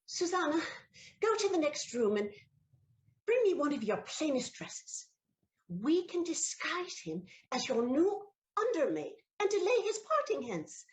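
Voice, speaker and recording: A woman's voice: high voice, sing-song, measured pace, darkish tone. A woman playing a noble countess in an audio play, tender at times and stammering when caught. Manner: flustered, stammering. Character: flustered noble countess, anxious to hide secrets. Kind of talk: stage audio play acting.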